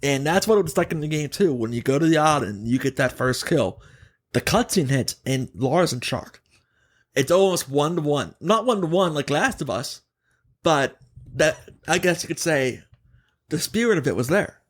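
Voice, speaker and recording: Man, slightly high voice, podcast host, casual chat, emphatic, opinionated, self-correcting. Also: lively intonation, moderate pace.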